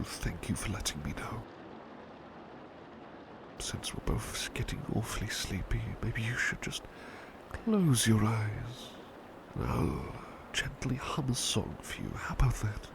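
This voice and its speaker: A man playing a devoted servant turned talking plush toy in a soothing ASMR roleplay, soft-spoken, reassuring and politely formal, a little hesitant. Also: lowish voice, vocal fry, noisy background, full character voice. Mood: tender, sleepy.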